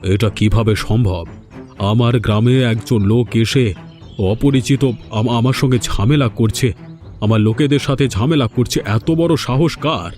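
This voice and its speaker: A man's voice: medium pitch, unhurried, a little mellow, faint music behind, natural voice. A man voicing a domineering, threatening village moneylender in a cartoon. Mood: indignant. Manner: domineering, menacing. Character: bullying village moneylender boss, haughty and threatening. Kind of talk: cartoon voice acting.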